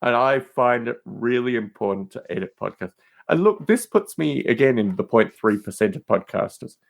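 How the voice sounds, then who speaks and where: A man's voice: medium pitch, expressive, normal speed, creaky. A man with a mid-range voice chats as a guest on a podcast. He talks in a loose, digressive way, stressing his points emphatically and slipping into mild exasperation. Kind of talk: casual, rambling podcast banter.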